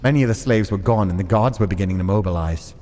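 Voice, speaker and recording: A man reading a visual novel aloud as an expressive, dramatic narrator, acting out the characters. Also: fairly low pitch, fast talker, long reverb tail, soft background music.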